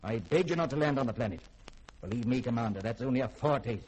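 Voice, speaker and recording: An actor playing an imperious, secretive middle-aged scientist in a 1950s radio drama, with haughty, formal phrasing and a husky voice. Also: male voice, mid-range voice, lively intonation, fast, a little mellow, poor audio. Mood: ominous warning.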